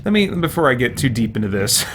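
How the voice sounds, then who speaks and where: A man's voice: medium pitch, big pitch swings, a little fast, noisy background. A man giving casual let's-play commentary as a game streamer, thinking aloud as he goes. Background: game music.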